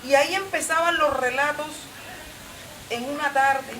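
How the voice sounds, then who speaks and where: A woman's voice: sits high, unhurried, bright, forceful tone. Woman panelist, emphatic storyteller, roomy sound with noise behind. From Colombia. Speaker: panelist at a community forum. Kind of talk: impassioned personal talk. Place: outdoor patio. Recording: roomy, distant and noisy.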